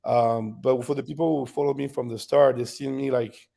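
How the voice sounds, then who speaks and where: A man in his thirties with a French accent, a bodybuilder guest telling stories in a relaxed interview, laughing often. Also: medium pitch, normal speed, creaky. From France. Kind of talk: casual interview storytelling.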